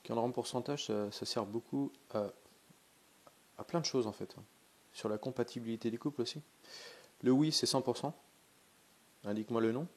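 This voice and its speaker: A man's voice: medium pitch, moderate pace, long pauses. A man with a cold, casually demonstrating something in a vlog and talking in a hesitant, chatty way with many fillers and restarts. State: has a cold. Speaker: pendulum dowsing vlogger.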